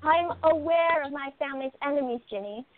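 A headstrong princess from a radio play, acted by a woman, speaking high with confident insistence. She is curious and sure of herself. The sound is narrow and phone-like, with clear noise on it. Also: brisk, natural voice. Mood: firm. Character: curious, headstrong exiled princess playing amateur sleuth. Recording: thin phone-like sound, noisy line.

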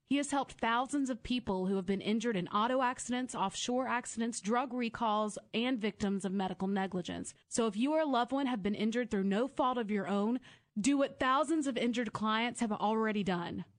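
A woman speaking for a law firm in a radio commercial, in an earnest, measured way. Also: on the high side. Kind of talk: radio ad read.

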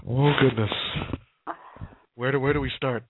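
A man hosting a casual podcast chat, with his voice going hoarse, easygoing and supportive as he talks with his co-host. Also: mid-range voice, fairly even intonation, moderate pace, background noise. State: losing his voice. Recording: tinny phone-like sound, very dry.

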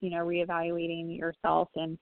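A woman's voice: lowish voice, completely flat delivery, moderate pace, no echo. Reassuring woman medium on a tinny line. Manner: gentle, reassuring, hesitant with many ums. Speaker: psychic medium giving readings. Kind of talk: phone-in psychic reading on a talk show. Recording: tinny phone line, dry.

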